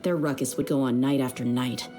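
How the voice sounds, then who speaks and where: A woman's voice: very deep voice, fairly even intonation, brisk. A woman playing a tough, easygoing young mercenary in a video game, frank and self-assured. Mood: wry, frank. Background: background music.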